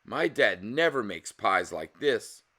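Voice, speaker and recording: A man's voice: lowish voice, very animated, slow, bright, forceful tone, heavily acted. A man voicing a friendly, curious neighbour boy from a storybook, in a wide-eyed, questioning manner. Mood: impressed.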